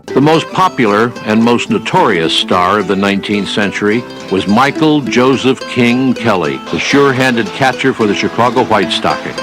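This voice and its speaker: A man reading scripted documentary narration in a measured storytelling manner. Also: fairly low pitch, expressive, low-quality recording. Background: guitar music.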